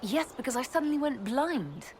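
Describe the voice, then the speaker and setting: An actress voicing a young elf mage leader in a video game, dry and composed. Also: female voice, high-pitched, lively intonation, moderate pace, darkish tone, slight background noise. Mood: wry. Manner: dry, wry.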